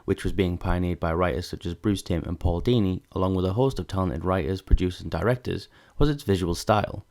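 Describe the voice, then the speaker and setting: A man's voice: sits low, mellow. A man reading scripted narration as the narrator of a video essay.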